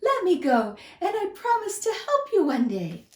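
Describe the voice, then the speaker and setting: A woman voicing a tiny, timid male mouse who squeaks and pleads in a high, trembling voice. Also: wildly swooping pitch, normal speed, echoey room, distant mic, heavily acted. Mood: pleading.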